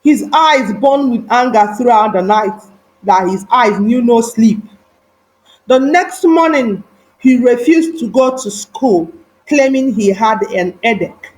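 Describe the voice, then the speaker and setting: A woman storyteller with a fairly high voice. She narrates a moral folktale very slowly, with solemn emphasis and dramatic, deliberate phrasing. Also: expressive, a little mellow, low-quality recording.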